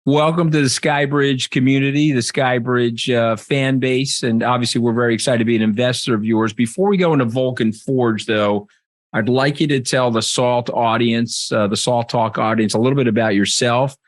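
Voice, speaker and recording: A man's voice: medium pitch, fairly even intonation, moderate pace. A male interviewer with a mid-range voice, speaking over a video call. He asks questions eagerly and trips over his words, restarting his phrases. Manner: enthusiastic, stumbling restarts.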